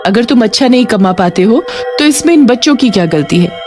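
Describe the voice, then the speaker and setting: A woman's voice: fairly high pitch, expressive, brisk, a little mellow, faint music behind, voice pushed up, lightly acted. A woman voicing a caring village mother who is emotive and earnest, in a cartoon ghost story. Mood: furious.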